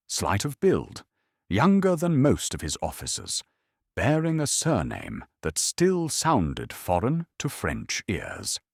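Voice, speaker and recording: A man narrating a scripted history bedtime story in a calm, measured storytelling manner. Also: low voice, no room sound, studio quality.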